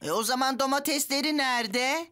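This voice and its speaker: Give me a high-voiced, cocky little schoolboy. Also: female voice, a little fast, bright, forceful tone. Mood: cocky, scheming. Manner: cocky, persuasive, overconfident. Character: cheeky, boastful, scheming young schoolboy. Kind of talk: animated children's cartoon dub.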